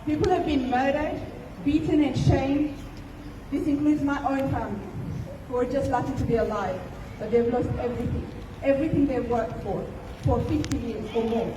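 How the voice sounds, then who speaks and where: High-voiced woman giving an impassioned rally speech. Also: normal speed, noisy background. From Ethiopia. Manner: earnest, emphatic, pained. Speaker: rally speaker. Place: outdoor rally. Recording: distant, echoey outdoor recording.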